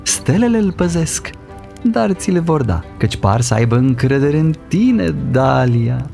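A wise cartoon wizard storyteller, with a gravelly, mellow voice. He is solemn and measured, yet his intonation moves freely. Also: on the high side, sing-song, faint music behind. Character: wise dreamy bearded male wizard storyteller. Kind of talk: animated fairy tale dub.

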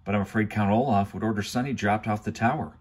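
A man's voice: lowish voice, steady tone, quick pace, husky. A man reading aloud and voicing a clever, bookish teenage boy who talks in an earnest, explaining way.

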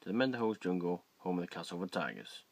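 A man's voice: sits low, sing-song, quick pace, dry recording. A man reads a matter-of-fact voice-over narration for a video. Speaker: vlog narrator.

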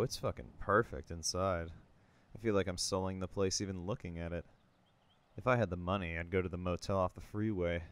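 A jaded writer in their thirties, played by a man in a comic dub. The voice is very low and clean, with a dry, sardonic, self-deprecating delivery. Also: clean tone, natural voice. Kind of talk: amateur comic dub acting.

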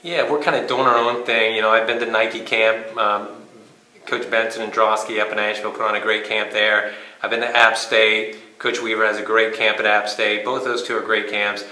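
A man in his fifties, a sports coach giving an informal interview, speaking haltingly with pauses mid-sentence. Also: on the low side, flat delivery, pushed and bright. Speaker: high school cross country coach. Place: small bare indoor room. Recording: echoey room.

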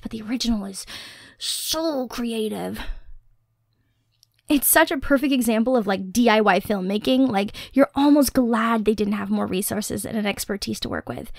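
A woman's voice: a little high, darkish tone, no echo, studio quality, close to an everyday voice. A young woman playing a dorky, enthusiastic horror-nerd girlfriend in an ASMR roleplay, rambling casually and musing aloud. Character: dorky, Halloween-obsessed girlfriend, nerdy and enthusiastic. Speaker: voice actor in audio roleplay.

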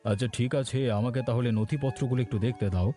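A man acts out a scheming former village official for a cartoon. His voice is mellow and unforced, sitting in a middle register. He speaks deliberately, with false reassurance, over light music. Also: mid-range voice, lightly acted. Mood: measured, reassuring. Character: scheming middle-aged village ex-clerk, smooth-talking swindler. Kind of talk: Bengali cartoon voice acting.

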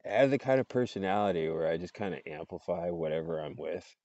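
Man, 40s, very low voice, chatty laughing vlogger, earbud mic, muffled dry sound. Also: expressive, quick pace, dark tone, dry recording. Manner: laughs often, loose and chatty. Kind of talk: casual rambling monologue. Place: outdoors under trees. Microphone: earbud headset mic, phone close up.

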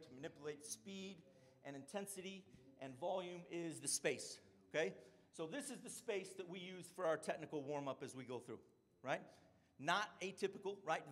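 Man presenting with "right?" check-ins. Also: a little high. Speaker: strength and conditioning coach. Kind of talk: conference presentation.

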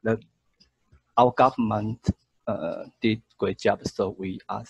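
A man in his thirties with a Taiwanese accent, haltingly searching for words as he reports on a video call. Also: on the low side, fairly even intonation, vocal fry, breathy edge, no room sound. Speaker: sketching group member. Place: room at home. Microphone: earphone mic on a video call. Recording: noisy video call with clicks.

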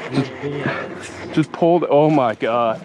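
A man's voice: mid-range voice, slow pace, highs cut off. A man fishing from a small boat offshore, chatting casually and exclaiming at the catch, recorded on an action camera. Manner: excitable, exclaims often. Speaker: fishing vlogger. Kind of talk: casual chat while fishing. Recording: outdoor wind and engine noise.

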